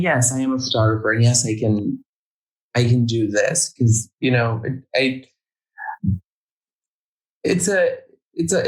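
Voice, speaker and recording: Brief: young male guest, mid-pitched voice, halting and stop-start delivery. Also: poor audio. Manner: hesitant, halting with many restarts. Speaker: guest photographer. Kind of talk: casual interview chat.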